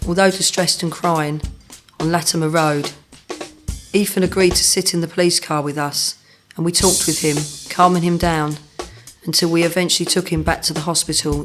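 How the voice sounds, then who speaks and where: Formal police officer, acted, over clear music. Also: medium pitch, natural voice. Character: formal, reassuring police officer giving an account. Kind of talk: scripted training film narration.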